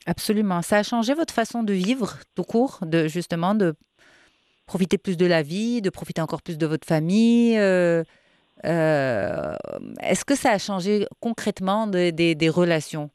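A woman's voice: on the low side, lively intonation, moderate pace, darkish tone, no room sound, clean studio sound. A woman interviewer asking probing, reflective questions in a conversational way, searching for her words.

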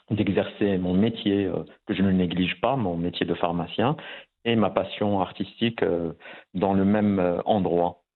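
A man in his fifties with a very low voice speaks haltingly, full of fillers and restarts. He sounds like he is on a thin, tinny phone line, as a guest chatting in an interview. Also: gravelly. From Morocco. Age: 50s. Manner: hesitant, many fillers and restarts. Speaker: guest, pharmacist and visual artist. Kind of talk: casual interview talk.

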